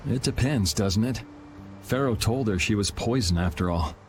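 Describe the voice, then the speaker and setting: A man playing a calm, blunt young vigilante swordsman in a video game, laid-back and matter-of-fact. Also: fairly low pitch, normal speed, slight background noise, lightly acted.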